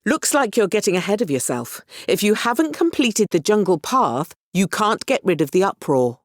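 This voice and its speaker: An actress playing an encouraging, wry mentor in a video game, expressive and instructive as she guides a young hero. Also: medium pitch, normal speed, dry recording, studio quality. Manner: expressive, instructive, gently apologetic. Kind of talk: scripted video game character lines.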